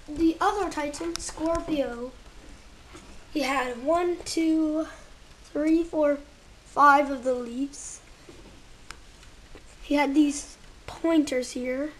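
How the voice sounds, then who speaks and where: A woman casually reviewing toys at home, halting and searching for words, sometimes dropping to a near whisper while handling pieces, recorded on a handheld camera held close. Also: sits high, fairly even intonation, slight background noise, rough recording. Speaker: toy reviewer.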